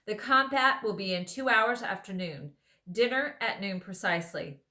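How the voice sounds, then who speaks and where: A woman voicing a young male king who speaks gently and with grave courtesy. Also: mid-range voice, animated, normal speed. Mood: grave courteous.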